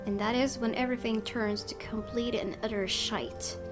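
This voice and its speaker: A woman's voice: on the high side, fairly even intonation, moderate pace. A woman gaming host, reading a visual novel aloud expressively and adding her own casual commentary. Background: game music.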